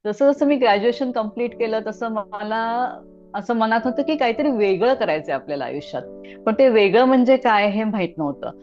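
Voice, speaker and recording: A woman's voice: on the high side, expressive, a little fast, highs cut off, soft background music. A middle-aged woman puppeteer, answering interview questions in a reflective, casual way with frequent hesitations. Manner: reflective, many hesitations, laughs softly.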